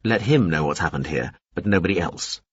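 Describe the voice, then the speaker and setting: An arrogant, powerful man who snaps out short commands, as a male reader voices him. The voice is low, husky and clipped. The recording is dry and a little dull. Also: lively intonation, brisk, no echo. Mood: commanding. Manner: curt, commanding.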